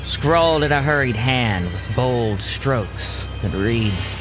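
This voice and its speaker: A man narrating an audio drama in a deliberate, suspenseful scripted storytelling style. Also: medium pitch, animated, over music.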